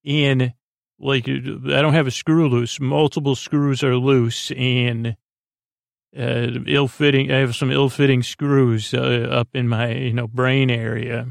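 A man's voice: mid-range voice, flat delivery, slow pace, vocal fry, dry recording, studio quality. A man hosting a sleep podcast in a meandering, goofy, friendly monologue, stammering and drifting off into tangents. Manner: hesitant, stammering, trailing off into tangents. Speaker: podcast host.

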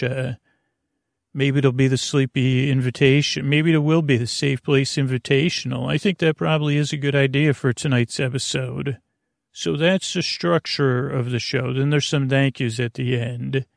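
Man, creaky gravelly voice, hushed golf-commentator tones, soothing, halting, meandering bedtime monologue, close dry sound. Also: mid-range voice, no room sound. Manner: meandering, halting, repeating and over-explaining. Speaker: host of a bedtime sleep podcast.

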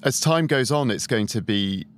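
A man's voice: mid-range voice, expressive, normal speed, slight background noise. A man discussing a social issue in an interview, reasoning aloud with some fillers.

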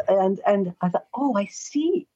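A woman's voice: mid-range voice, warm tone, slightly rough. An American woman in middle age or older, a forthright and chatty interview guest telling anecdotes conversationally. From Los Angeles, United States. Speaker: astrologer and columnist, interview guest. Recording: slightly dull, lo-fi audio.

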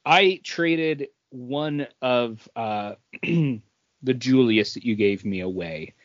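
A man's voice: medium pitch, slow, dry recording. Male podcast host, conversational and rambling, dry room, lo-fi muffled capture. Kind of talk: casual chat about craft beer.

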